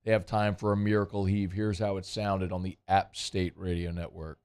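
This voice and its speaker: A man's voice: sits low, level tone, moderate pace, darkish tone, smooth. A man hosting casual sports talk radio, chatty and rambling, his voice worn and strained. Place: radio studio.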